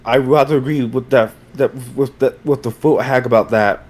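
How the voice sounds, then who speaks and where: A man's voice: mid-range voice, fairly quick, darkish tone. A man in a casual amateur group reading, talking in a mock-commanding way.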